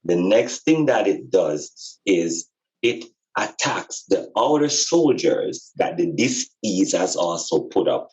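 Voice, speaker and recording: A man giving an informal, explanatory spiritual talk in a deliberate, emphatic way, repeating phrases for stress. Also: deep voice, very animated, a little mellow, low-quality recording. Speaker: podcast speaker and spiritual teacher.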